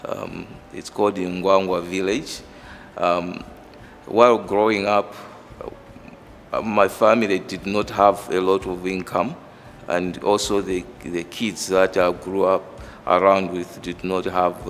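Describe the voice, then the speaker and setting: A middle-aged man with a Malawian accent, a professor explaining work and background in a measured, halting way. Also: low-pitched, flat delivery, a little hiss or hum. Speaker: engineering professor. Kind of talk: interview monologue explaining own work and background. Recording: reverberant hall, music underneath. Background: background music.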